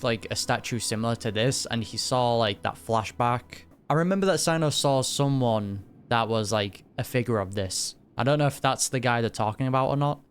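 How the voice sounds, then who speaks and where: A man giving casual reaction commentary on a game, informal and speculating aloud. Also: mid-range voice. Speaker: gaming reaction streamer. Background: game music.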